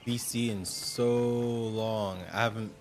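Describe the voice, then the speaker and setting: Young guy streaming, smooth low voice, jokey and upbeat, little game music behind. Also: steady tone, takes it slowly. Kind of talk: casual livestream chat.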